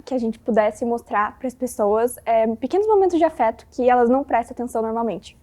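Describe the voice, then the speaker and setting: A woman of about twenty with a high voice answers interview questions. She speaks gently and earnestly. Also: fairly quick. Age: around 20.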